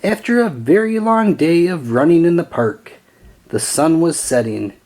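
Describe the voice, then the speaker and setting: A man reading a children's storybook aloud with an expressive, sing-song storytelling delivery. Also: a little high, a little slow. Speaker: storybook reader.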